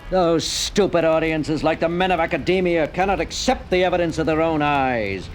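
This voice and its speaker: An actor playing a pompous, megalomaniac stage magician villain in a 1970s radio play, grandiose and theatrical. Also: male voice, fairly high pitch, moderate pace, natural voice. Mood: menacing. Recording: faint hiss of old vinyl.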